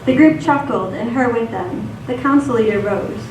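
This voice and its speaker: A woman's voice: a little high, normal speed. A woman narrating a scripted radio theatre piece on stage. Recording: echoey stage, distant mic, background noise.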